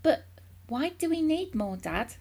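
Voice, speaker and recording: A woman's voice: sits high, big pitch swings, unhurried, vocal fry, dark tone, voice pushed up, exaggerated voice. A woman voicing a sensible young boy in a children's story, questioning his father in a hesitant, pleading way. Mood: puzzled.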